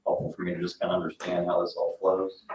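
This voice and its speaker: A low-pitched man asks questions at a public meeting. His phrasing is informal and tentative, with casual filler words as he works through his point. The mic is some way off and the room rings with echo. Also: completely flat delivery, quick pace, darkish tone, distant mic, a little hiss or hum. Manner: tentative, conversational, often says "like" and "you know". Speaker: board member asking questions.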